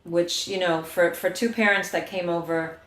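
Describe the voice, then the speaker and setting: Middle-aged new york woman telling stories, lowish voice, bit of echo in the room, sings lines here and there. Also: moderate pace. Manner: lively storyteller, acts out quotes and bursts into song. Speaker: guest. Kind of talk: casual interview chat.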